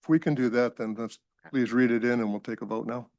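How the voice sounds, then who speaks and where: A man's voice: medium pitch, steady tone, fast, a little mellow, no echo. Folksy man chairing a board meeting. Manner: folksy, rambling, fond of analogies.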